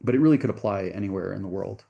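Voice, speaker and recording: A man's voice: sits low, racing, vocal fry, muffled recording. A middle-aged man from the United States, a venture capitalist guest in an interview, telling his story earnestly and correcting himself as he goes.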